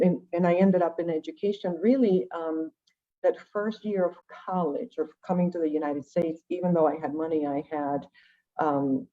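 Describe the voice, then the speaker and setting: A woman from Venezuela, a native Spanish speaker, tells her own story conversationally and reflectively, often checking in with rhetorical questions. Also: deep voice. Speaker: guest, education nonprofit director. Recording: dull, lo-fi call audio.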